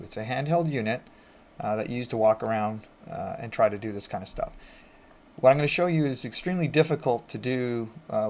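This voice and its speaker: A man with a mid-pitched voice narrates a technical walkthrough as an instructor. He speaks in an informal, conversational way. He explains each step as he goes, in the style of a casual screen-recorded tutorial. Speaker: IT instructor and presenter.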